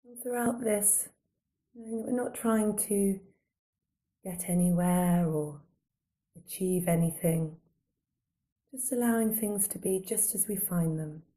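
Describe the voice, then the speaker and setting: A woman guiding a body scan meditation in a calm, gentle, unhurried manner, giving slow soothing instructions with long pauses. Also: medium pitch. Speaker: meditation guide.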